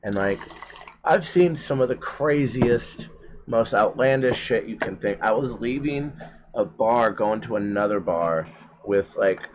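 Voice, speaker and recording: A man's voice: fairly low pitch, measured pace, dull audio, slight background noise. A man in his thirties from Atlanta, a comedian and bouncer, telling stories in a casual chat, swearing freely and acting out what people said. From Atlanta, USA. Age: 30s. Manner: animated storyteller, swears freely, acts out quotes.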